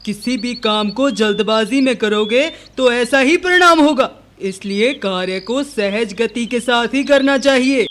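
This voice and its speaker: A man plays a father bird in a moral-story cartoon. The voice is high and unhurried, firm yet caring. He speaks like a parent teaching a lesson. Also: a bit bright. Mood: scolding. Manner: slow, instructive, deliberate.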